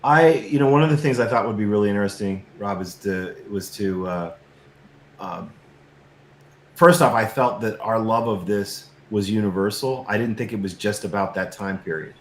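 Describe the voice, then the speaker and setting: Male, middle-aged, reflective filmmaker guest. Slightly deep, roomy sound, halting conversational delivery. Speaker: interview guest, documentary filmmaker. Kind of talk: casual conversational storytelling. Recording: roomy echo, faint background noise.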